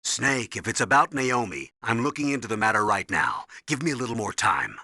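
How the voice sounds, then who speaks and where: An actor playing a stern older colonel in a video game, briefing and giving orders with a husky, commanding voice. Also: male voice, medium pitch, sing-song, a little fast, pushed and bright, no echo, studio quality, full character voice. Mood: grave. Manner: commanding, urgent, instructive.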